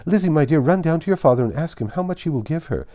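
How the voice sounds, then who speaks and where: A man plays a giddy middle-aged mother from a classic storybook. The pitch is lifted high and swings widely as she gushes and exclaims. She sounds silly, fluttery and overexcited. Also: lively intonation, quick pace, warm tone, close to an everyday voice. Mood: overjoyed, fluttering. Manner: fluttery, exclamatory, breathless rush of delight.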